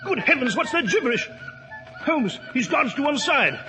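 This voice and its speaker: Anxious high-voiced gentleman sidekick. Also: very animated, normal speed, soft background music, heavily acted. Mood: alarmed. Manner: blustering, hesitant, easily startled. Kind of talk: 1940s radio drama acting. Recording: faintly noisy 1940s radio recording.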